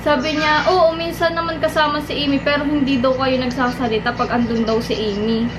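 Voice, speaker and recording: High-voiced young woman, noisy recording. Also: flat delivery. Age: young adult. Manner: rambling, halting, repeats herself. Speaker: vlogger making a prank call. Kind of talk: casual chat with friends on a call.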